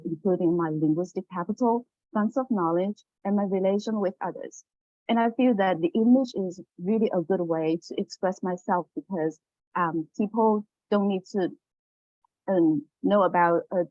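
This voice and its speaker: A woman whose first language is Chinese, giving a careful, earnest academic presentation with soft fillers. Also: mid-range voice, no echo. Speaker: graduate student presenter. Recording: dull dry lo-fi audio.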